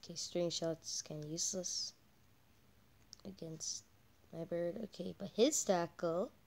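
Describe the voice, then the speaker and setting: Croaky, slow-talking female gamer with a cold. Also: lowish voice, steady tone, darkish tone. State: croaky with a cold, coughing and throat-clearing. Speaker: host of a gaming channel. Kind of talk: casual game commentary.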